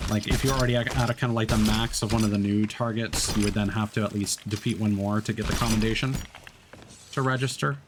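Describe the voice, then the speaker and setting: A man's voice: medium pitch, noisy background. A man streaming a game, talking casually with lots of fillers and restarts. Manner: rambling, many fillers and restarts. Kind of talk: casual gameplay commentary.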